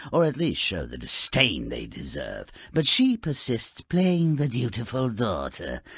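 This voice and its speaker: Game narrator: a mean little fairy-tale grouch, harsh and husky, wildly animated, florid and sneering. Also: male voice, mid-range voice, no echo, clean studio sound, full character voice. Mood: contemptuous. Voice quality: raspy, gravelly. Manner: theatrical, sneering, florid delivery. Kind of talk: scripted video game narration of twisted fairy tales.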